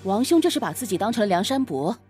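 A woman's voice: medium pitch, big pitch swings, fast, a little mellow, slightly rough, soft background music. A woman playing a sarcastic young husband and official in a Chinese costume audio drama, with a pointed, snorting delivery. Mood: jealous, sarcastic.

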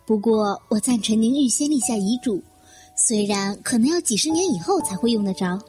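A woman's voice: a little high, animated, a little fast, dark tone, close to an everyday voice. A woman playing a composed young secretary in an audio drama, polite and lightly teasing. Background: background music.

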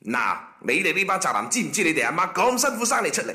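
Man acting animated, stern Cantonese job interviewer. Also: high voice, fast, full character voice. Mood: scornful, lecturing. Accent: Guangzhou Cantonese. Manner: formal, lecturing. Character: stern, scornful male company job interviewer. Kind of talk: comic internet radio drama acting. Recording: echoey room.

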